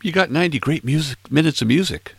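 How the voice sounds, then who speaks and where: A man in his late sixties hosting a music podcast in casual chat, a little hoarse, with playful self-mocking asides. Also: on the high side, animated, quick pace, no echo. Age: 60s. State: slightly hoarse, froggy throat. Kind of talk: casual chat between tracks.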